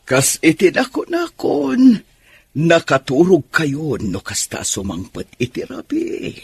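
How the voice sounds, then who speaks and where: Here is an elderly grandmother from a radio drama, concerned and chiding. The voice is very hoarse and rasping, and it swings widely in pitch as it admonishes at a slow pace. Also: huge pitch swings, darkish tone, exaggerated voice. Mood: gently firm.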